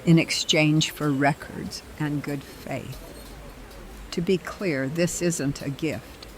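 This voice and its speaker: An actress voicing a calm, formal and assured mysterious woman trader. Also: sits low, noisy background. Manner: measured, deliberate, formal.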